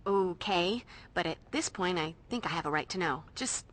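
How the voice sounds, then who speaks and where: An actress playing a bossy, sarcastic teenage demon fighter with an American accent in a video game, blunt and slangy. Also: female voice, fairly low pitch, expressive, moderate pace, fairly bright tone.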